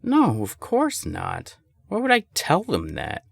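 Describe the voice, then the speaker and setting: A man's voice: medium pitch, wildly swooping pitch, takes it slowly, vocal fry, slightly rough, no echo, clean studio sound, heavily acted. A young man acting a candid serial-dater friend who is secretly smitten, conversational and emphatic, in an intimate audio roleplay. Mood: defensive. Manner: conversational, hesitant, emphatic swearing.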